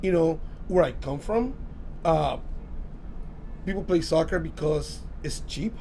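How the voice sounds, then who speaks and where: Salvadoran-born coach in his 50s, kinda gravelly, higher voice, faint background hiss. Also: expressive, measured pace, dark tone, low-quality recording. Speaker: teacher and soccer coach. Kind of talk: casual interview.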